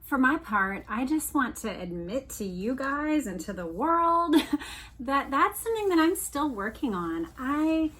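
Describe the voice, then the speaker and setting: Higher-pitched middle-aged woman, reflective podcast host. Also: sing-song, a little slow, a bit bright. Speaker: podcast host reading a book aloud and commenting. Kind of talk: reflective solo podcast talk mixed with read-aloud passages.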